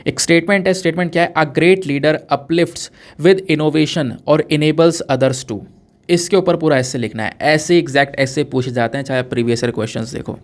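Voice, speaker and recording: A man's voice: fairly high pitch, normal speed. A man from India with a sore throat, lecturing conversationally on current affairs as an exam-prep teacher, explaining through questions and mixing in English terms. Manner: explanatory, poses questions and answers them, code-mixes English terms.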